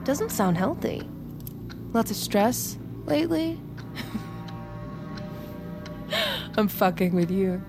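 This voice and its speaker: Young woman soldier, creaky voice, flippant and cussing, radio drama with music under it. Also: mid-range voice, sing-song, slow pace, long pauses. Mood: wry, teasing. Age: young adult. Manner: sardonic, flippant, profane. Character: cocky, irreverent young female army recruit. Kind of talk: naturalistic audio drama acting.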